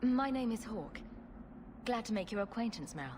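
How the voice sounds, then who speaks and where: An actress playing a wry, sarcastic human adventurer in a video game, with a dry, self-assured way of talking. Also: female voice, a little high, expressive, normal speed, background noise, natural voice. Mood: businesslike.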